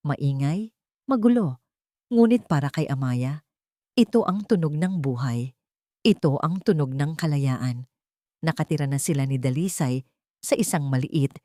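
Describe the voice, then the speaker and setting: A woman storyteller reading scripted dramatic fiction in a measured, solemn way, in short phrases. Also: sits low, a little mellow, dry recording, studio quality.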